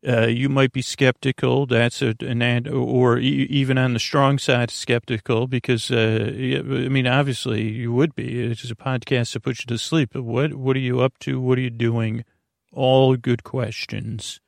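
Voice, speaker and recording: A man hosting a bedtime sleep podcast with a creaky voice, meandering and halting in a goofy, self-deprecating way. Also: medium pitch, flat delivery, no echo, clean studio sound. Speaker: podcast host.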